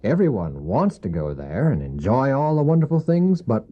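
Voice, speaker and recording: An actor playing a gentle, weary widowed father in a radio drama, speaking tenderly and haltingly to his young son. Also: mid-range voice, huge pitch swings. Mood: warm, explaining. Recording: dull old cassette audio.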